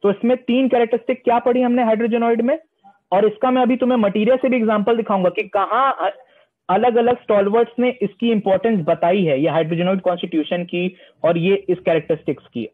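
A young man teaching homeopathy, reading English passages slowly and then explaining them, often answering his own questions. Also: high-pitched. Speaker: homeopathy lecturer. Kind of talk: online lecture mixing Hindi and English. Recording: tinny phone-line sound.

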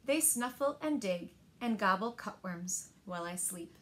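A woman in her thirties reads picture books aloud to young children with expressive, deliberate phrasing, recorded indoors in a living room. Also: medium pitch. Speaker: storyteller at a library story time.

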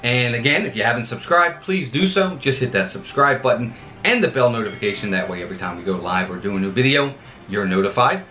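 A man in his forties hosting a theme park video channel, talking to camera from a home office in an upbeat manner with lively swings in pitch. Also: fast talker, roomy sound. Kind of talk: scripted vlog talk to camera.

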